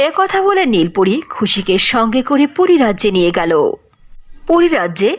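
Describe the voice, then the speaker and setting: A woman narrating a scripted cartoon fairy tale as an expressive storyteller. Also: fairly high pitch, moderate pace.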